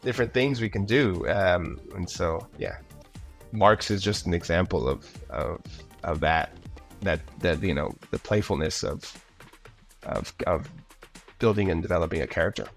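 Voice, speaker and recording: Man, low voice, animated, rambling casual talk, voice actor being interviewed, music underneath. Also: normal speed, creaky. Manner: animated, rambling with repeated words. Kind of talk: casual interview chat with anecdotes.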